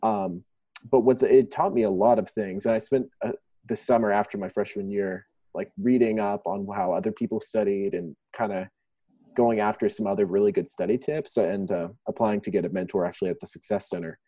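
A man's voice: on the low side, steady tone, brisk, warm tone, no echo. A young man from Thailand, a student guest, answering interview questions over a remote call in a thoughtful, rambling, hesitant way. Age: young adult.

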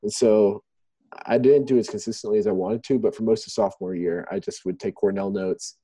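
A man's voice: lowish voice, steady tone, a little fast, warm tone, slightly rough, dry recording. A young man from Thailand, a student guest, answering interview questions over a remote call in a thoughtful, rambling, hesitant way. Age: young adult.

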